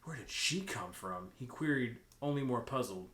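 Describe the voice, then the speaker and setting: A man's voice: mid-range voice, animated, moderate pace, fairly bright tone. A man reading a story aloud as an amateur, breaking off for casual joking asides. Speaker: co-host reading fan fiction aloud. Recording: roomy, echoing room sound.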